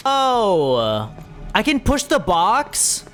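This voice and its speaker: Gamer girl streaming, goofy n excitable, voice jumps around a lot, quick talker, game music behind. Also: mid-range voice. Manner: playful, excitable, profane, given to silly repetition. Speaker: streamer reacting while playing a video game. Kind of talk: casual playthrough commentary.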